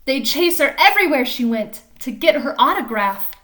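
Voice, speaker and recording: Bright, high-voiced woman reading to children. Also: normal speed. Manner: animated, explains and asks questions of young listeners. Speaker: teacher reading a picture book aloud. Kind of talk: expressive storybook read-aloud with chatty explanations to children.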